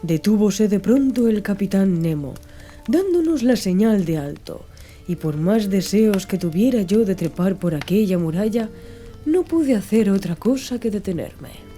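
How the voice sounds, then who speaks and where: Woman, audiobook narrator, very mellow dark timbre, mid-range pitch, literary storytelling, background music. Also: expressive, unhurried.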